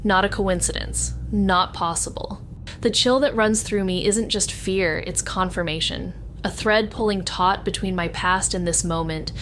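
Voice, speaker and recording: A woman's voice: mid-range voice, slight background noise, lightly acted. A woman playing a haunted, determined amateur detective, narrating a noir audio drama in a brooding, dramatic way. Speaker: narrator and lead character. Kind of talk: noir scripted first-person narration.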